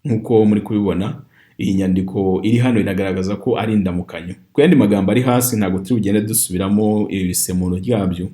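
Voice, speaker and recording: A man from Rwanda, a native Kinyarwanda speaker, teaching an online language lesson and repeating phrases slowly for learners. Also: lowish voice, steady tone, warm tone.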